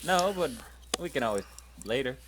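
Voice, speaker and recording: A man's voice: medium pitch, expressive, normal speed, background noise. A man playing a tabletop roleplaying game, switching between in-character talk and bossy, teasing banter.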